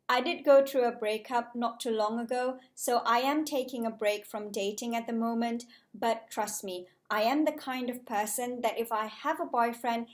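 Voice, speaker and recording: A young woman from Malaysia speaks straight to her viewers in a vlog, her voice sitting a little high. She talks in a measured, careful way, as if working through prepared notes. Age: 20s. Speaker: vlogger, junior doctor. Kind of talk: scripted-sounding casual vlog talk to camera.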